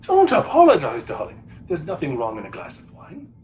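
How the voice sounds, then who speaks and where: An actor playing an earnest, doting Edwardian husband in a period radio drama, tender and courtly. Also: male voice, a little high, wildly swooping pitch, normal speed, darkish tone, a little hiss or hum. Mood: doting, courteous. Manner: tender, courtly, eager to please.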